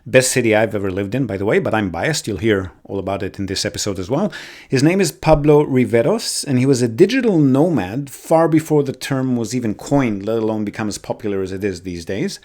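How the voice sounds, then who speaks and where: A man's voice: medium pitch, expressive, a little fast, a little mellow. Upbeat male podcast host. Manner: upbeat, enthusiastic. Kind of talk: podcast intro and interview.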